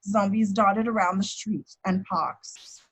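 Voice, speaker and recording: A woman's voice: medium pitch, steady tone, slow, slightly rough. A woman reading out scripted action descriptions as narrator at an amateur table read.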